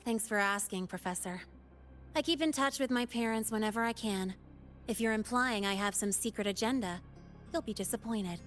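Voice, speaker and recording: An actress as a young female academy student from a video game, with a fairly high voice. She is guarded and quick-witted, speaking with cool, wry defensiveness over music. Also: a bit bright, natural voice.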